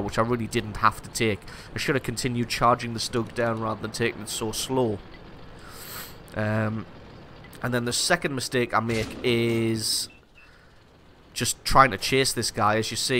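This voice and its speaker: A man with a bright, forceful voice and a stuffy, congested nose from a cold talks through his own match as host of a gaming channel. He explains his moves in a casual, self-deprecating way. A faint hiss sits under his voice. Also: medium pitch, normal speed. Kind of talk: gameplay commentary.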